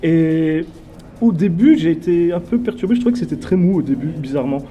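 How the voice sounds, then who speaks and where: A man's voice: a little high, animated, brisk, mellow. A man from Belgium, giving a blunt, slangy film review as a guest at a festival. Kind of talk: casual film review chat.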